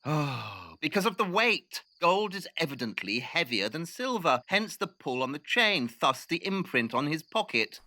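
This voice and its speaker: A man's voice: fairly high pitch, big pitch swings, unhurried, few pauses, sharp tone, no echo, studio quality, heavily acted. A man acting as an arrogant, impatient Victorian detective in an audio drama, brusque and condescending. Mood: brisk, deductive.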